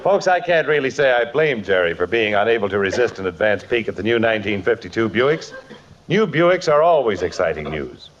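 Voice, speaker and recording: A man as a radio announcer giving a polished, emphatic 1950s advertising pitch on a muffled old broadcast. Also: animated, a little fast, a little hiss or hum. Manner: polished, emphatic salesman delivery.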